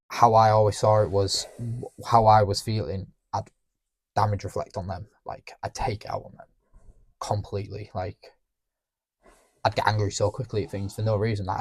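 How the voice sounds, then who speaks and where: A young man talking candidly on a podcast, reflective and halting, often restarting his sentences. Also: on the low side, little pitch movement, darkish tone, breathy edge, no room sound. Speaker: podcast co-host. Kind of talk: candid emotional conversation.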